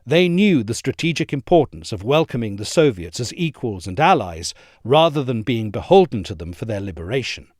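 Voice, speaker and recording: A man giving a measured, articulate scripted narration of a history essay. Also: mid-range voice, big pitch swings, darkish tone, no echo, clean studio sound. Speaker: essay reader.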